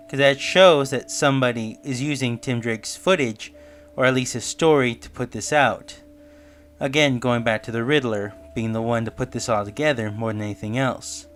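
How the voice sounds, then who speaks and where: A man's voice: mid-range voice, a bit bright, a little hiss or hum. A man giving explanatory, musing commentary in the style of a semi-scripted video essay review. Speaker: online reviewer and theorist. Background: background music.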